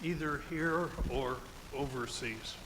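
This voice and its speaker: Audience man, slow, hesitant question, clear background noise. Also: mid-range voice. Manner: hesitant, repeating words. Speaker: audience member asking a question. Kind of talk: question from the floor. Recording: noisy room recording.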